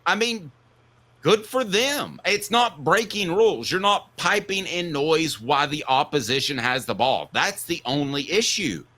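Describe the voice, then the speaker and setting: A man delivers opinionated sports commentary as a talk show host. His voice is bright and forceful, with very lively intonation. He makes his points in punchy, emphatic declarations, stretching stressed words for weight. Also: a little high, measured pace.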